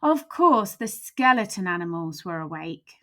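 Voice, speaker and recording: A woman's voice: lowish voice, very animated, a little slow, pushed and bright. A woman storyteller reading a children's picture book aloud expressively, with emphatic, suspenseful phrasing.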